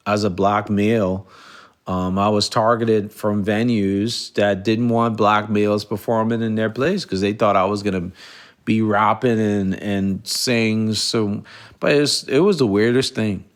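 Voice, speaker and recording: Low gravelly man from the Bahamas. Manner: earnest, halting with frequent restarts and fillers. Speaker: podcast host. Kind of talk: reflective solo podcast monologue.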